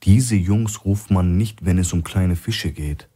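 A man's voice: low voice, takes it slowly, mellow, husky, exaggerated voice. A man playing an alert, level-headed male operative in a thriller audiobook, terse and matter-of-fact. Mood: alert, serious.

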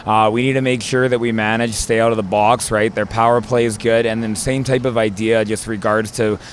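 Lower-voiced young coach, chatty. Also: male voice, level tone, a little fast, a little hiss or hum. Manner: filler-heavy, repeats words, says "right?". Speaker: assistant coach. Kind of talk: postgame interview answers. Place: indoor hockey arena rinkside. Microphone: handheld mic held by interviewer.

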